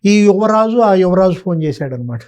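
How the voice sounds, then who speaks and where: Male commentator, raised pitch, mellow tone, colloquial and sarcastic, posing pointed questions off the cuff. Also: expressive, normal speed, clean tone. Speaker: political commentator, interview guest. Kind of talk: unscripted political commentary.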